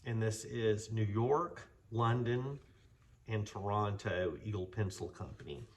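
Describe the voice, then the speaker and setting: A man's voice: fairly low pitch, measured pace. Folksy American man showing off finds. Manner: folksy, enthusiastic, rambling. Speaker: vlog host. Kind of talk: casual show-and-tell.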